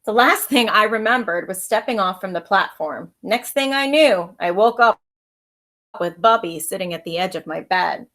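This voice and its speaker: A woman reads a children's story aloud in the manner of a schoolteacher. Her voice sits at a middle pitch, and her delivery is expressive storytelling aimed at young listeners. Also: normal speed. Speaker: elementary school teacher reading aloud.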